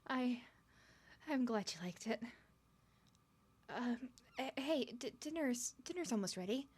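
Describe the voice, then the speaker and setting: A woman's voice: fairly high pitch, lively intonation, unhurried, darkish tone, breathy edge. A woman playing a nervous, smitten young woman on a first date in an ASMR roleplay, stuttering and rambling with flustered giggles. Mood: shy, eager.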